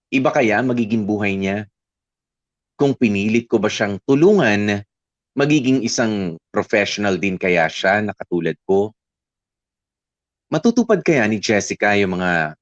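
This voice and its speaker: A man narrates as a letter writer looking back with regret, speaking in a thoughtful, heartfelt way. His voice sits slightly low. The sound is dry and a bit dull, like a radio broadcast. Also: no room sound, lightly acted. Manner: reflective, heartfelt. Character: reflective man reading his letter aloud.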